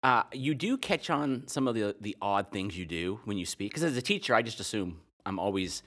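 A man's voice: lowish voice, lively intonation, a little fast, a bit bright. A man co-hosting a podcast, a teacher who teases his partner and explains science facts. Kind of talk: casual chat.

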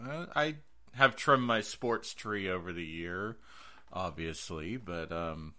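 A man's voice: sits low, slow pace, pushed and bright. An American man hosting a horror podcast, casually chatting through movie recommendations.

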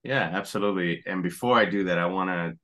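A middle-aged man from Puerto Rico speaks in a very low voice. He tells his story in a relaxed, conversational way, often restarting his sentences as he goes. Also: fast talker. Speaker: guest, apparel company founder. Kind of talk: conversational interview.

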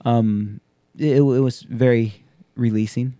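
Man, 30s, podcast host; casual, profane, animated storyteller; mellow tone, dry close recording. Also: mid-range voice, slow, no echo. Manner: loose, profane, animated storyteller acting out quotes. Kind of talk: casual chat.

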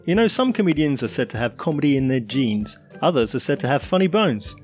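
A man's voice: mid-range voice, big pitch swings, fairly quick, darkish tone, faint music behind. Punning English male podcast host. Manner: punning, playful delivery. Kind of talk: scripted intro, then interview.

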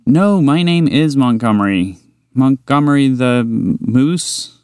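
A man voicing a big, gentle, slow-witted moose in a bedtime story, hesitant and bashful. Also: medium pitch, expressive, clear, resonant tone, no echo, clean studio sound, pitched above the performer's own voice. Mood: unsure. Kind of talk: storybook character voice.

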